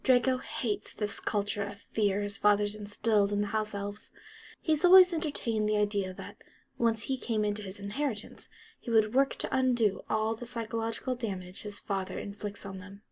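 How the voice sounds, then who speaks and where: A woman's voice: mid-range voice, normal speed, darkish tone. A woman narrating an audiobook, reading scripted fiction as dramatic storytelling.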